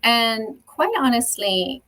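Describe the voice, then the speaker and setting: A woman in her forties from Belgium with a French-speaking Belgian accent, a podcast guest chatting eagerly about her life, often pausing on fillers and restarting her sentences. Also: on the high side, expressive, fairly bright tone. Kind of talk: casual interview chat.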